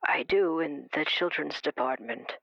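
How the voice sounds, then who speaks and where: A woman playing a very old, weary, haunted librarian in an improvised audio drama, speaking haltingly and gently with long pauses and a breathy voice that often sinks to a whisper. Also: low voice, no echo, muffled recording, exaggerated voice. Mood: shy, hesitant. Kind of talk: improvised audio drama, caller to a radio show.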